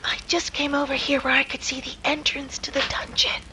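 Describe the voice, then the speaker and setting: A woman playing a solemn lady who recounts eerie legends in a hushed, dramatic way, in a 1930s-style radio drama. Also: high-pitched, little pitch movement, brisk, a little hiss or hum, low-quality recording. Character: Miss Nixon, eerie solemn woman telling a ghost legend.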